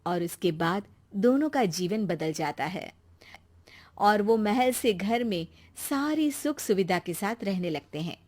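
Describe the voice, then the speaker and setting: A woman's voice: mid-range voice, animated, a little slow, a little mellow. A woman narrating a scripted moral story as a storyteller.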